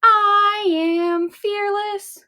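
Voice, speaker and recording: A woman voicing a bold, proud little girl in a children's storybook, with a dramatic, drawn-out, sing-song delivery. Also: very high-pitched, fairly even intonation, sharp tone, voice pushed up, full character voice. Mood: proud, singsong. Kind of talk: children's storybook read-aloud.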